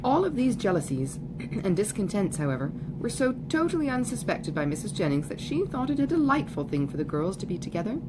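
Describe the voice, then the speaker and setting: A woman reading a classic novel aloud in a measured, articulate way, as in an amateur audiobook. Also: mid-range voice, very animated, noisy background. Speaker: audiobook reader. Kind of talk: literary narration of a classic novel.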